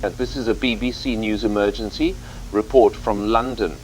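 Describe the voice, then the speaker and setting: A man's voice: on the low side, fairly even intonation, normal speed, a little hiss or hum, poor audio. A man presenting a scripted TV news report as anchor, in a grave, formal manner.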